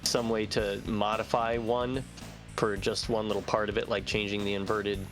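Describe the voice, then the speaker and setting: Man, lowish voice, conversational, explanatory, casual game talk, music behind. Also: flat delivery, moderate pace. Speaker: fan translation team member.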